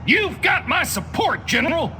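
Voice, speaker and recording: An emphatic, red-baiting American official from a cartoonish video game. The voice is extremely high for a man, forceful and cutting, leaping around in pitch. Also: big pitch swings, normal speed, faint music behind, full character voice. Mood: outraged, rallying. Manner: blustery, emphatic. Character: blustering, hot-headed anti-communist American male official.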